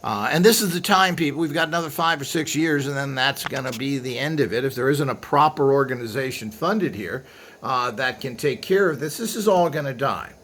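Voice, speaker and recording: A man's voice: medium pitch, a little fast, fairly bright tone, slight background noise. A man presenting equipment in a casual, unscripted show-and-tell, with a blunt, griping, opinionated way of talking. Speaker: presenter demonstrating vintage equipment.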